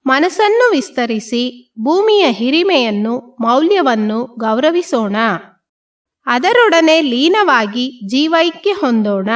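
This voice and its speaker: Woman, meditation guide, fairly high voice, very slow, calm, pauses between phrases.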